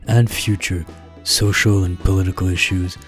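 A male podcast host reading formal interview questions in a measured way. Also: low voice, level tone, faint music behind.

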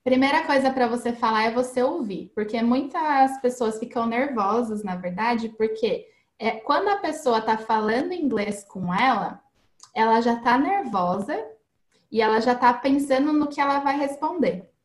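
A woman's voice: fairly high pitch, expressive, normal speed, echoey room. A woman guest giving casual, chatty advice on learning English, explaining with everyday examples and frequent tag questions.

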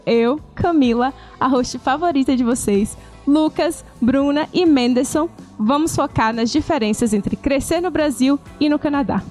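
Woman host from Salvador, Brazil, high voice, playful and chatty. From Salvador, Bahia, Brazil. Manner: lively, joking. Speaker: podcast host. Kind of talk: casual podcast chat.

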